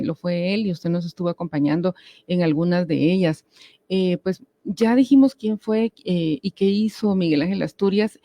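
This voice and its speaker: Gravelly, mellow woman interviewer. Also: on the low side, dry recording. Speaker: radio interviewer. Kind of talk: conversational interview questioning.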